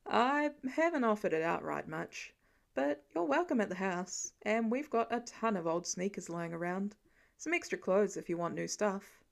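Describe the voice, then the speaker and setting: A woman narrator voicing a guarded, fatherly man in a story, gentle and casually gruff, with coaxing, clipped phrases. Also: medium pitch, expressive, moderate pace. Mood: careful, coaxing.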